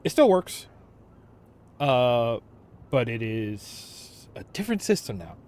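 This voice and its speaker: A man chatting casually on a gaming livestream, thinking aloud in a halting way. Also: mid-range voice, big pitch swings, darkish tone. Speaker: game livestreamer.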